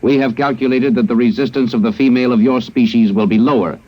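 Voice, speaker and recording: A man playing a cold, logical male alien captor in a 1950s radio drama, speaking in flat, clipped, mechanical sentences, heard on a muffled vintage broadcast recording. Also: medium pitch, fast, natural voice.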